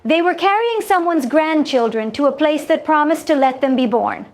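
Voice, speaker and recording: A woman playing a calm, exacting alien trader in a science fiction story, speaking formally with careful, deliberate phrasing. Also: high voice. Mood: grave, measured.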